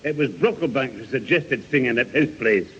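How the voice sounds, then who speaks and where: A man's voice: medium pitch, animated, brisk, a bit bright, close to an everyday voice. A man playing an irascible older grandad with a Northern English accent, grumpy and blustering in a vintage radio sitcom. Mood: brisk. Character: irascible old grandad, quick to threaten. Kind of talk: 1970 radio sitcom acting. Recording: dull, faintly noisy vintage radio recording.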